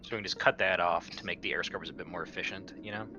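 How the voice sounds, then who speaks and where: Male player at a tabletop game, deep voice, casual and loose-tongued, clear music bed behind him. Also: steady tone, rapid-fire, highs cut off. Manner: casual, sweary. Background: game soundtrack music.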